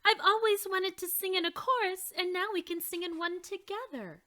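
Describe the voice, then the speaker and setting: A woman reading aloud and voicing an eager, loud young girl mouse in a storybook. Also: very high voice, moderate pace, pitched above the performer's own voice. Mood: excited. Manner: excited, bellowing.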